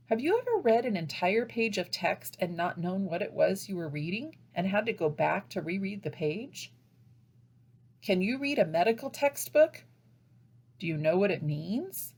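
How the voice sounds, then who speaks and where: An American woman delivers a scripted training presentation for teachers. Her pitch moves up and down in a lively way around a medium register, and she explains points in a measured, instructive manner. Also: breathy edge.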